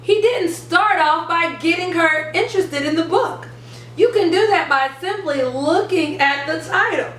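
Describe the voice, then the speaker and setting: A woman hosting an instructional video for parents and teachers, speaking in an animated, emphatic, teacherly way. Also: extremely high pitch, measured pace. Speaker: host of an education channel for parents and teachers. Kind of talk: upbeat instructional video to camera. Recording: roomy, distant mic, faint background noise.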